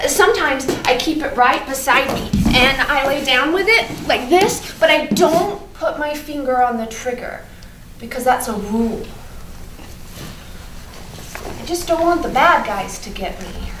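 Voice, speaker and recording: A woman playing an innocent, chatty but fearful first-grade girl in a theatrical monologue, halting and repeating her words. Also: sits high, a bit bright. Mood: anxious, earnest. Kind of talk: theatrical monologue at a staged reading. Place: indoor room at a live staged reading. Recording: roomy, distant, noisy live stage recording.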